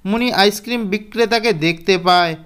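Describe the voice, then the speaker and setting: Clear, smooth woman storyteller. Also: medium pitch, brisk, a bit bright. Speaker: narrator. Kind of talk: scripted children's story narration.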